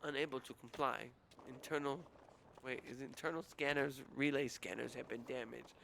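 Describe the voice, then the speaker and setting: A man's voice: mid-range voice, steady tone, moderate pace, vocal fry, fairly bright tone, slight background noise, poor audio. A young adult man with a nasal, whiny voice, rambling casually and making crude jokes while streaming a game.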